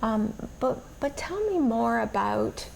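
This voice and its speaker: Gravelly-voiced woman TV interviewer. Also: a little high, lively intonation, slow, darkish tone, a little hiss or hum, low-quality recording. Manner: encouraging, affirming interviewer. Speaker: host. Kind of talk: community TV interview.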